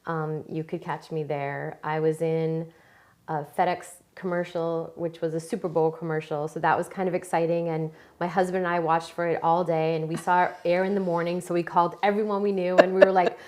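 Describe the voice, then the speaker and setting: A woman's voice: fairly low pitch, fairly even intonation, a little fast, a little mellow. A woman in her forties from Maine, a new library employee telling her story in a casual interview, bubbly and laughing often. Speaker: library staff member, guest.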